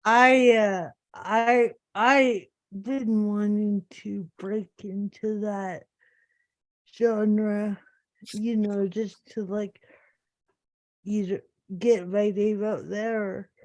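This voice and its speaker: Woman, unhurried slow pace, middle pitch, dry recording, casual chat. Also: steady tone, no echo, rough recording. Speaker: table read participant.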